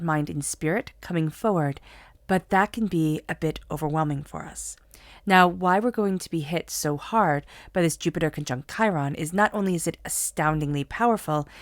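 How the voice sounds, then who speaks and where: A woman's voice: on the low side, no room sound, clean studio sound. A woman reading tarot cards for her viewers, talking in an earnest, encouraging way while she has a cold. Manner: soothing guided meditation, then earnest spiritual pep talk. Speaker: tarot reader. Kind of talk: solo tarot reading to camera.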